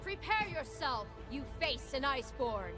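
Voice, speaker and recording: An actress playing a proud, solemn warrior queen of an icy tribe in a video game, speaking in deliberate, commanding, dramatic lines. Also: high voice, very animated, music in the background.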